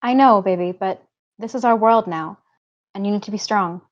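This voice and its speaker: A woman's voice: mid-range voice, moderate pace, lightly acted. Woman playing a firm, loving mother, dull recording. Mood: tender, sad. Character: loving, firm, protective mother in her forties. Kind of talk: table read of a screenplay.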